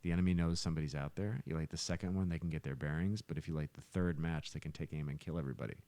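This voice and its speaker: A middle-aged man with a very deep voice, recorded very dry. He is a talkative musician telling stories casually as a guest, rambling and circling back as he goes. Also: fairly even intonation, rapid-fire, dark tone, no room sound. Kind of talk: casual chat, storytelling.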